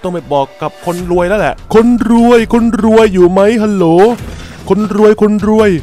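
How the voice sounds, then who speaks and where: Casting: high-voiced man, colloquial and exclamatory, improvised game roleplay, music underneath. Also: moderate pace, warm tone. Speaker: creator voicing characters in a Minecraft roleplay video.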